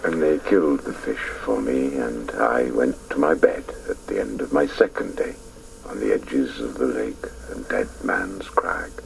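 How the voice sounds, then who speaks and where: Reflective older-self narrator in a radio drama, very low, lyrical n intense, noisy old recording. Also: male voice, sing-song, measured pace, gravelly, exaggerated voice. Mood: quiet, reflective. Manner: lyrical, intense, hushed at times. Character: reflective grown man recalling his boyhood wonder.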